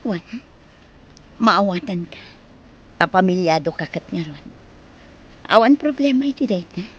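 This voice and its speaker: A woman's voice: fairly low pitch, expressive, a little slow, husky, highs cut off. A woman playing a firm but forgiving married mother in a radio drama, with an Ilocano accent. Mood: apologetic, firm.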